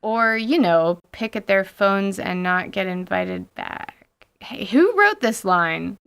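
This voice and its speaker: Clear-voiced woman telling a wry personal story. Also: mid-range voice, animated, slow pace, fairly bright tone. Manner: wry, casual, swears lightly. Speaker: podcast host. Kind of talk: scripted storytelling narration with conversational asides.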